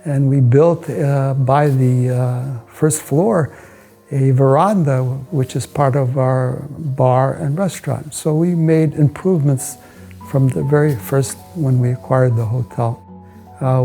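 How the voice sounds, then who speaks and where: American male, hotel owner telling his story on camera; slightly high pitch, gravelly edge, measured phrasing. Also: warm tone. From United States. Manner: measured, halting delivery in short phrases. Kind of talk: promotional interview, personal recollection. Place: indoors, hotel suite.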